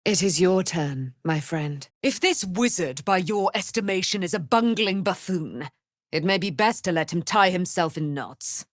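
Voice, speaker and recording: Proud immortal warrior lady, fantasy game, slow n grand declamations, super dry recording. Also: lowish voice, lively intonation, no room sound. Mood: grand, gracious. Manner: declamatory, archaic, grand, speaks of herself in third person. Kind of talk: dramatic fantasy game acting.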